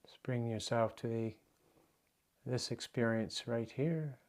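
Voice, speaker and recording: Male meditation guide, medium pitch, gentle and unhurried, leaving space between instructions. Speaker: meditation teacher.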